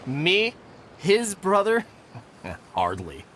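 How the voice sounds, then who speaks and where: A man playing a proud, argumentative repairman in a video game, pointed and expressive. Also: high-pitched, extremely slow, a little hiss or hum, heavily acted. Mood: scoffing. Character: proud, argumentative male repairman, quick to scoff.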